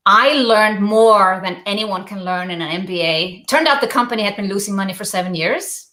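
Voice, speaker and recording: Icelandic woman, bright voice, roomy sound. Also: mid-range voice. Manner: earnest storytelling with many "uh" hesitations. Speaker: guest entrepreneur. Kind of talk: interview over video call.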